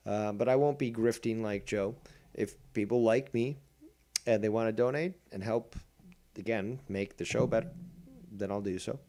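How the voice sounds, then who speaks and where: A man's voice: on the low side, normal speed, dark tone. Combative, profane male streamer. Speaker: streamer host. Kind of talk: livestream commentary.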